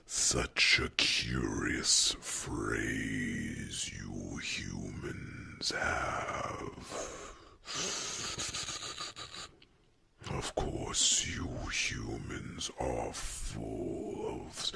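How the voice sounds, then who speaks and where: A man voicing a gentle, wise, protective dragon in an ASMR fantasy roleplay, speaking deliberately in a gravelly, breathy half-whisper with musing hums. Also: rumbling low, a little hiss or hum, heavily acted. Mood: musing, content.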